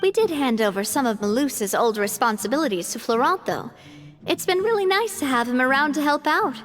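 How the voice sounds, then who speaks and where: A high, youthful voice as an earnest young woman who leads with brave composure and a wistful streak. Her game dialogue is expressive and measured, with music playing softly behind it. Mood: friendly, composed. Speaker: video game voice actor.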